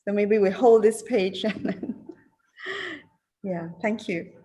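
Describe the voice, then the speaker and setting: Slow, halting woman from Singapore. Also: mid-range voice, fairly even intonation, warm tone, dull audio. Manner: hesitant, many fillers and restarts. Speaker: keynote speaker, alumna. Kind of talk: reunion speech and Q&A answers.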